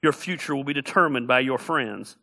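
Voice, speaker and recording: A man's voice: mid-range voice, brisk, gravelly, sharp tone. A middle-aged man preaching a sermon as pastor, emphatic and repeating key phrases for effect.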